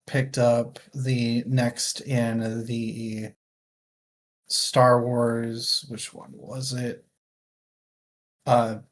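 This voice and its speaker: A man with a mid-pitched voice hosts a casual book discussion. He speaks at an unhurried, slow pace and rambles along in a jokey, self-deprecating manner. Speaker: book club podcast host. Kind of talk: casual book chat on a livestream.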